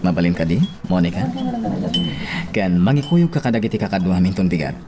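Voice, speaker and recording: A man with a Filipino accent playing a calm, devout parish priest in a radio drama, earnest and measured. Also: sits low, background noise. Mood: earnest, friendly. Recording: music bed under the voice.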